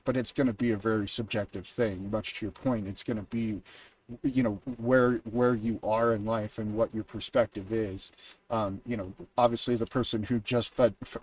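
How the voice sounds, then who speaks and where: A man hosting a podcast, making a measured case in casual discussion. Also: on the low side, recorded from a distance, rough recording. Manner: measured, argues with evidence. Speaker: podcast host.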